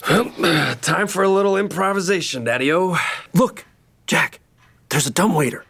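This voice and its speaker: A man playing a cocky, hip adventurer with a husky voice in a comedy table read. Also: high-pitched, animated, normal speed, a bit bright, no room sound, full character voice.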